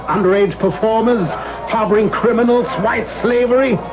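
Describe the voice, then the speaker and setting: A menacing, proud older father acted by a man, imperious and quick to threaten. The voice is pitched high for a man, on a muffled old radio drama recording. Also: close to an everyday voice. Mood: outraged. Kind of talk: 1970s radio drama acting.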